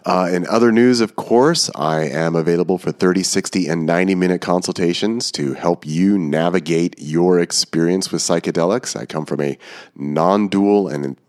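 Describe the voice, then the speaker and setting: A congested man hosts his podcast in a loose, rambling manner, full of fillers. His voice sits very low, and the sound is close and dry, with no room around it. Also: moderate pace, a little mellow, no room sound. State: congested from a cold. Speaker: podcast host. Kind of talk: casual podcast talk.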